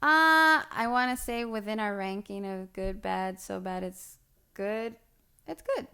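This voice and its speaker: A woman co-hosts a casual movie podcast with a friend. Her voice is smooth and clear at a middle pitch. She teases playfully and keeps the talk light. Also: a little slow, bright, forceful tone. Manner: playful, teasing, laughs easily. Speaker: podcast co-host. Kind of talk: casual chat.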